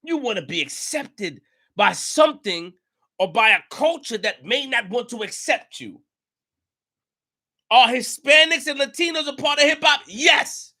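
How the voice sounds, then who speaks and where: A man delivers a passionate monologue on his own show. His voice sits very high and cuts sharply, and he hammers his points home with repetition and bursts of shouting. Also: lively intonation, measured pace. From New York. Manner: emphatic, repetitive, rising to shouts. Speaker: show host. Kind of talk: impassioned commentary monologue.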